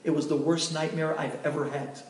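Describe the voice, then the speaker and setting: Guy putting on a worried widow, soft and stammery, echoey room. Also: on the high side, level tone, brisk, a little hiss or hum, lightly acted. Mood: earnest. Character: grieving widow, anxious and earnest. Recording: echoey room, distant mic.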